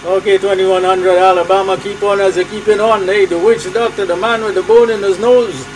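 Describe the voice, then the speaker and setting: High-pitched Trinidadian man calling over radio. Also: flat delivery, fairly quick, noisy background. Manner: hearty, repetitive call-sign patter. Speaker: amateur radio operator. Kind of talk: radio call chat. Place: small cluttered room at home.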